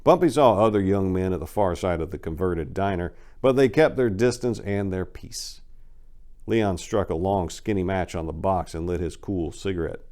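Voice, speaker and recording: A man's voice: low voice, normal speed, a little mellow. A man hosting and narrating scripted dramatic readings in a theatrical, emphatic way. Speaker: channel host and story narrator.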